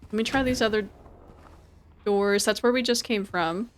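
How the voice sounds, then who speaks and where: A woman's voice: medium pitch, normal speed. A woman giving casual live game commentary and chatting with viewers, informal and prone to repeating her words and phrases. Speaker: game streamer.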